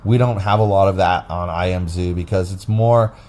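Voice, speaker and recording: A man with a deep, bassy voice hosts a casual podcast and pitches in low. He rambles through his points with restarts and fillers. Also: steady tone, normal speed, darkish tone. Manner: rambling, loose delivery with many restarts and fillers. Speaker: podcast host. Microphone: phone app recording.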